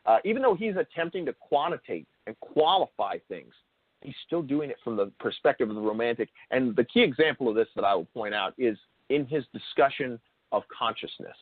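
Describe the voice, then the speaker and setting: A man's voice: mid-range voice, lively intonation, breathy edge, dry recording. A man's voice comes through a thin, phone-like connection with a dry sound. He speaks as an interview host explaining ideas off the cuff, repeating and rewording phrases as he goes and leaning on key words. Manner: halting, repeats and rephrases himself, emphatic on key words. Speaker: show host interviewing a guest. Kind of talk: unscripted explanatory discussion. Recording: thin phone-like call audio.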